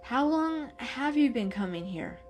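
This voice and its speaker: A woman voicing a grumbly male house cat in a storybook, questioning everything in a drawn-out way. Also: high voice, lively intonation, faint music behind, voice pushed up. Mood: suspicious, curious.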